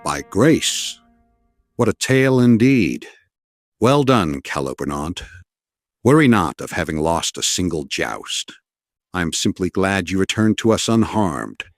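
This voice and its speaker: A man's voice: deep voice, very animated, measured pace, dry recording, studio quality, heavily acted. A man playing a noble, benevolent and commanding king in an audio drama, with stately delivery. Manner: stately, declamatory.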